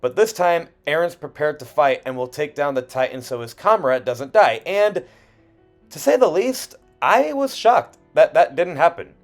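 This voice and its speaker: A man's voice: on the high side, big pitch swings, normal speed. A man reading a scripted video essay in an earnest, personal way with emphatic phrasing. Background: background music.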